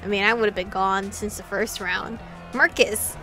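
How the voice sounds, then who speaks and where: A woman hosting a visual novel playthrough, reading game text aloud with expressive, casual reactions and casual swearing. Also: medium pitch, normal speed, over music, low-quality recording.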